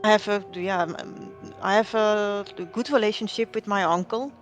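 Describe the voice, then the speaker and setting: A woman in a mid-range voice recounts her roleplay character's story. She rambles on and often pauses to restart her phrases. Faint music plays in the background. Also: mid-range voice, steady tone. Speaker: roleplay player.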